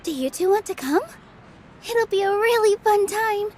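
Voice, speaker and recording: A woman playing a gentle, modest young theater dancer in a video game, with a polite, friendly manner. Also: very high voice, expressive, normal speed, slight background noise. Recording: game dialogue over clear background music. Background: music.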